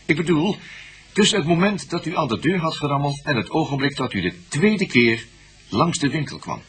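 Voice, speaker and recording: A man's voice: on the high side, lively intonation, normal speed, a bit bright. A patient police investigator in a radio play. His voice rises and falls freely as he coaxes and prompts, often repeating his words in reassurance. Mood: patient probing. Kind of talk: radio drama dialogue.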